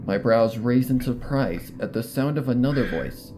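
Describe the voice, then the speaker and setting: A man's voice: mid-range voice, lively intonation, moderate pace, over music. A man reading a scripted horror story as a dramatic first-person narrator. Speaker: fan fiction narrator.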